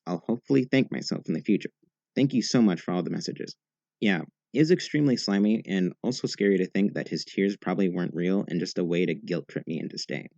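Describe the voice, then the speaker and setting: A man with a deep, low voice reads first-person posts aloud in an even, steady way. The sound is dry and close, with a slightly dull, muffled tone. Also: no room sound. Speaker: narrator reading posts. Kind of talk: scripted narration.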